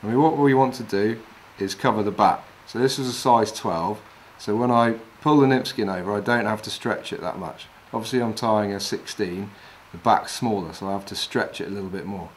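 Low-voiced man demonstrating fly tying. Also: brisk, few pauses, slight background noise. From England. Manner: calm, practical, talks while working with his hands. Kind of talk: step-by-step demonstration. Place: wooden lodge room, indoors.

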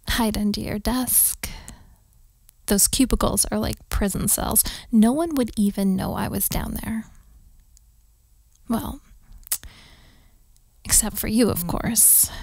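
Slow, teasing girlfriend, acted by a woman, close-up. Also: on the high side, a little mellow, no room sound, exaggerated voice. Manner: teasing, pouty, coaxing, often breathy whispering. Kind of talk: intimate ASMR girlfriend roleplay. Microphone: close microphone.

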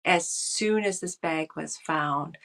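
A woman in her fifties, a political scientist, talking thoughtfully over a video call from a room at home, often pausing to restart her phrases. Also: deep voice, gravelly, a bit bright. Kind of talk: casual video call interview. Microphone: video call webcam.

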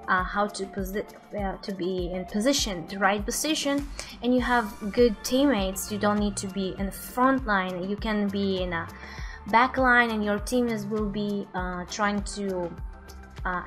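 Slow, halting young woman reacting casually. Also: medium pitch. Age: 20s. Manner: hesitant, halting phrasing. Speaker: streamer reacting to game videos. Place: small room at home.

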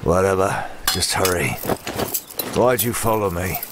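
A gruff, wounded warrior, acted by a man talks low and slowly. He is curt and impatient in this comic dub, over clear background noise.

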